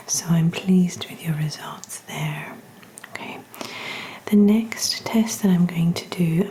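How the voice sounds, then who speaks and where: A woman speaking in a soft, breathy near-whisper, gently reassuring, as an examiner in an ASMR medical roleplay. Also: lowish voice, slight background noise, low-quality recording. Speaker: ASMR performer playing a hearing examiner.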